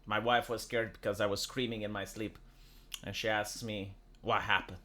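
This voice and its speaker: A man's voice: on the low side, little pitch movement, normal speed, bright, forceful tone. A Romanian man with a Romanian accent giving a sarcastic, exasperated, opinionated commentary in casual speech. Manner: sarcastic, exasperated, with rhetorical tag questions. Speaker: YouTube commentator and streamer.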